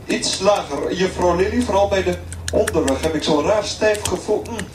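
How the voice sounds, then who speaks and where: A man's voice: high-pitched, normal speed, natural voice. An actor playing a distracted male airline captain giving hesitant, rambling announcements in a satirical radio play. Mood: languid, distracted. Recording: distant echoey voice, faint cabin noise.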